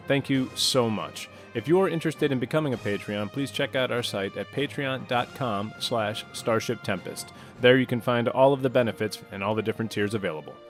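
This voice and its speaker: A man's voice: mid-range voice, brisk. Man announcing over faint guitar music. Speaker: show announcer. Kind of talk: scripted podcast outro with thanks and plugs.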